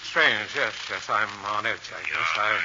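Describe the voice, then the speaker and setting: An actor playing a nervous, suggestible man on edge, halting and agitated, in melodramatic 1940s radio style. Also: on the low side, natural voice. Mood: distraught. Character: nervous, suggestible male client sliding into panic. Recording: muffled, noisy 1940s radio recording.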